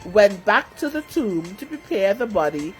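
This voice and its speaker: A woman storyteller reading a scripted children's story with expressive narration. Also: medium pitch, unhurried, faint music behind.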